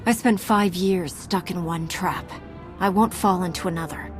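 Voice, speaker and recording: A woman's voice: lowish voice, normal speed, lightly acted. A woman playing a commanding, level-headed heroine with dry wit in a video game. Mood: wary. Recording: music behind the voice.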